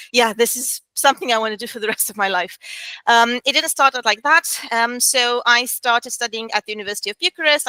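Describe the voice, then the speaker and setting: A woman's voice: a little high, pushed and bright, dry recording, poor audio. A woman in her thirties from Romania with a Romanian accent, answering interview questions as a historian, thoughtful with many fillers. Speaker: guest historian.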